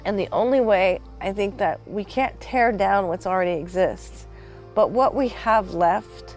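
A woman speaking earnestly and haltingly in a documentary interview, reflecting on loss and the land. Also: mid-range voice, darkish tone, faint music behind. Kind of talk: reflective personal testimony.

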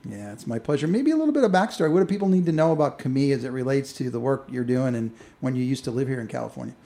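A man's voice: medium pitch, expressive, rapid-fire, creaky, dark tone, no room sound. A man hosting a community radio show, introducing guests with an upbeat announcer delivery. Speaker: radio host.

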